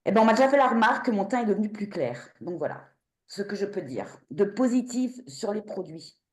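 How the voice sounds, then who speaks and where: A woman's voice: medium pitch, roomy sound. A woman in her fifties giving a blunt, outspoken customer testimonial on a video call. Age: 50s. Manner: blunt, outspoken, animated and quick.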